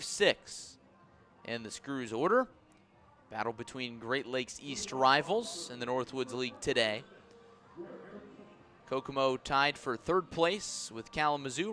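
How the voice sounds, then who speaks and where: A man's voice: medium pitch, pushed and bright. A man doing live baseball play-by-play commentary for a radio broadcast.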